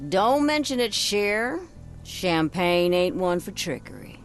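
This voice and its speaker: An actress playing a sly, self-assured informant in a video game. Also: female voice, on the low side, sing-song, slow pace, soft background music, exaggerated voice. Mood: sly, easy.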